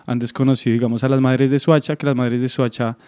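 A young man from Bogotá with a Colombian accent, explaining as an interview guest in a halting way, searching for words. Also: mid-range voice, little pitch movement, warm tone, no echo. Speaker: interview guest, student activist. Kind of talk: explanatory radio interview answer.

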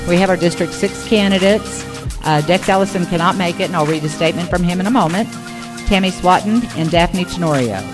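A woman's voice: lowish voice, fairly quick, over music. A woman moderating a public forum, reading prepared material aloud to the room. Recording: reverberant hall recording.

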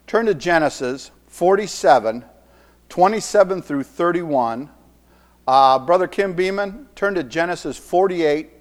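A middle-aged man preaching a sermon in a church, earnest, often repeating phrases and swinging between soft and emphatic lines. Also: on the high side.

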